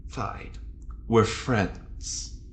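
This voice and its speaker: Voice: medium pitch, very slow. An actor playing a smug, flirty long-term prisoner in an audio drama, with arch, flamboyant, teasing delivery. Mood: mock-offended.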